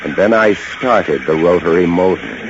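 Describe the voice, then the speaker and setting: A man's voice: deep voice, animated, normal speed. An actor playing a haunted, intense lighthouse keeper, a man narrating an old-time radio horror story in a brooding, dramatic way. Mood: eerily calm. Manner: dramatic, brooding, swinging from hushed to feverish. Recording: muffled, noisy vintage radio recording.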